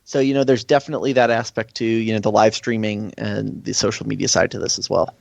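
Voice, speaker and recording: A man's voice: fairly low pitch, fairly even intonation, fast, creaky, no echo, dull audio. An American man talking casually as an interview guest and event organizer.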